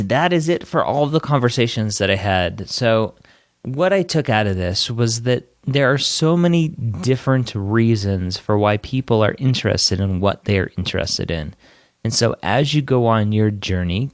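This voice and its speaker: A man's voice: lowish voice, dry recording, clean studio sound. A man hosting a podcast, introducing interviews in an upbeat, encouraging way. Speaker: podcast host.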